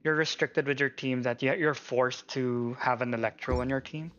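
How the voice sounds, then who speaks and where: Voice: medium pitch, fairly even intonation, moderate pace, a bit bright, highs cut off. A game streamer giving casual live commentary, muttering and thinking aloud. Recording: game music behind voice. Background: video game music.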